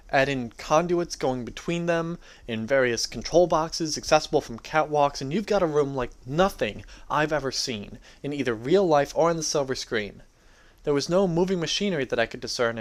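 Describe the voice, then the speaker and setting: A young adult man giving a dramatic reading of his own first-person story. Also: medium pitch.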